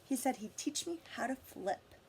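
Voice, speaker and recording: A woman with a fairly high voice reads a children's story aloud in an expressive way. She addresses young listeners directly, with questions and guidance. There is noticeable noise in the recording. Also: normal speed, rough recording. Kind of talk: expressive picture-book read-aloud.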